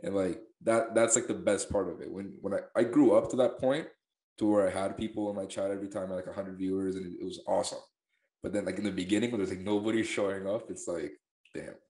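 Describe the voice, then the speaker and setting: A man's voice: deep voice, fairly even intonation, fast talker, warm tone, roomy sound. A young man hosting a podcast in casual chat, laid-back, swearing and laughing easily. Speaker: podcast host.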